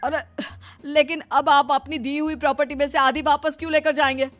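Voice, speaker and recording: A greedy, aggrieved man in a cartoon moral story, put on by a woman. The voice is very high, whiny and exclamatory, with faint music underneath. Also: level tone, brisk, close to an everyday voice. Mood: protesting. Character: greedy, whiny, indignant man of a duped family. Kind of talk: animated moral story dialogue.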